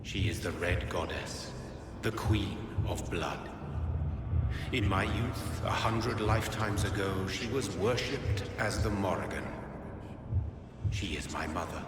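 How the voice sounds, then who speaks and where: An actor playing an ancient, solemn vampire progenitor in a video game, speaking gravely in cryptic, archaic phrases. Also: male voice, deep voice, noisy background. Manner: slow, grave, archaic formal speech. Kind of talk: dramatic video game acting. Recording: echoey reverberant hall, loud music behind.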